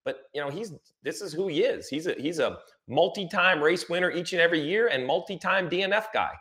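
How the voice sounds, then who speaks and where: A man's voice: a little high, expressive, fairly quick, pushed and bright. A man giving conversational motorsport analysis, emphatic and repeating phrases to drive points home.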